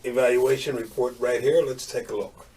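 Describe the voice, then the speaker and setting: A man's voice: mid-range voice, steady tone, normal speed, darkish tone, slight background noise, close to an everyday voice. Man as a reassuring school principal. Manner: reassuring, formal. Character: helpful, agreeable male school principal. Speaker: school principal in training video. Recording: distant mic.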